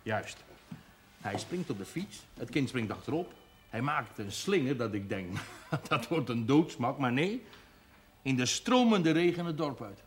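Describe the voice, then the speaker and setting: A man's voice: mid-range voice, very animated, measured pace, a little hiss or hum. A man acting a gossipy villager in a period television drama, chatty and relishing his scandalous tale. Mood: amused gossip. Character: gossipy village regular, amused and scandalised storyteller.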